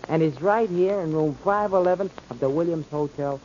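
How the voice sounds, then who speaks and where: Actor as an eager high-voiced sidekick, muffled. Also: male voice, brisk, a little mellow, close to an everyday voice. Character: eager, loyal, slangy underworld sidekick, male. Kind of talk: 1940s radio drama acting. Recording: muffled, noisy old radio recording.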